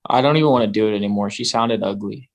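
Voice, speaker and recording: A man's voice: fairly low pitch, fast talker. A man co-hosting a casual podcast, blunt and calling his friend bro. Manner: blunt, says bro a lot. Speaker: podcast co-host.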